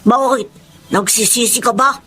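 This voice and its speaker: A woman's voice: a little high, moderate pace, a bit bright, close to an everyday voice. A woman voicing an earnest, plucky orphaned street child in a Tagalog radio drama. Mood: downcast.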